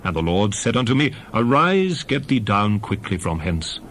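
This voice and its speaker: A man playing a solemn prophet who exhorts his people in deliberate, declamatory oratory, as a dramatized scripture reading. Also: lowish voice, big pitch swings, fairly bright tone, a little hiss or hum. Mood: solemn, recounting. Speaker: Bible narrator and actor.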